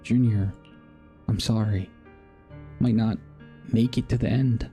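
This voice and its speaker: A male gaming streamer with a mellow, fairly low voice reads story dialogue out loud. He moves haltingly between the text and casual asides, with faint game music behind him. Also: fairly even intonation. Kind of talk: reading game dialogue aloud with casual reactions.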